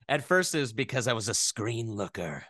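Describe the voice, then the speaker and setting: A man's voice: medium pitch, very animated, moderate pace, fairly bright tone, dry recording, studio quality. A man, a voice actor, chatting casually as an interview guest, telling stories in a joking, self-deprecating way.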